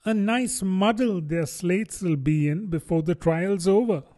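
A curious, polite little girl in a storybook, her voice lifted high and wondering, as a man reading aloud puts her on. She muses to herself in a light, questioning way. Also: measured pace, dark tone.

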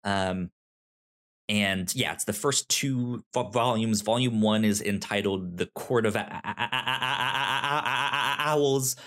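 Male podcast host, lowish voice, stuttering and rambling, casual recommendation chat with enthusiasm. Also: slow, a bit bright. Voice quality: stutters, repeating syllables and words.

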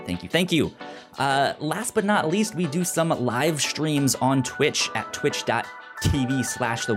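A man hosting a casual podcast, chatting and reading announcements, sometimes tripping over and repeating words. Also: medium pitch. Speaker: podcast host. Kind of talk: casual podcast interview and sponsor read.

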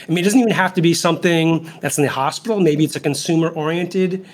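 A man hosting a casual interview, informal and halting as he rephrases his questions. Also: on the high side. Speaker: interviewer, podcast host.

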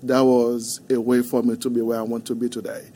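A man from Haiti with a Haitian accent, a podcast guest telling his story in a soft, halting, earnest way. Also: medium pitch, completely flat delivery. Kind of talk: podcast interview. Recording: faint background noise.

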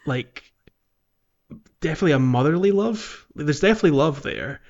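A man's voice: fairly high pitch, animated, moderate pace, a little mellow, dull audio. A man hosting a podcast in casual chat, thoughtful and a little hesitant as he talks. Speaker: podcast host.

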